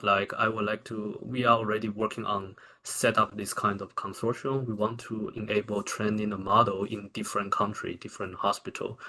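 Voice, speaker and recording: A man with a Taiwanese accent answering questions as a researcher at a conference, in halting, informal phrasing with repeated words. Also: fairly low pitch, bright, forceful tone. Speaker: researcher presenting.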